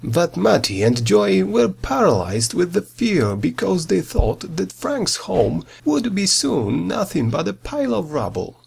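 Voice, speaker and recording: Husky, highly animated male storyteller. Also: a little slow, few pauses. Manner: dramatic, expressive storybook delivery. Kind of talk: scripted fairy tale narration for children.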